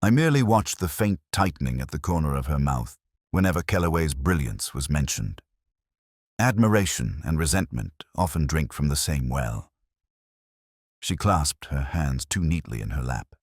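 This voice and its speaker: A man playing an ageing, melancholy police inspector, narrating a detective story in a measured, literary, brooding manner. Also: very deep voice, big pitch swings, no room sound, clean studio sound, exaggerated voice. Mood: brooding, reflective. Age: older. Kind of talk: first-person scripted detective story narration.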